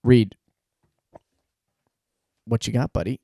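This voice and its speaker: A man's voice: mid-range voice, takes it slowly, vocal fry, mellow, no echo, studio quality. A man hosting a podcast, talking casually and bantering with his co-host. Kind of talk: casual banter and show intro.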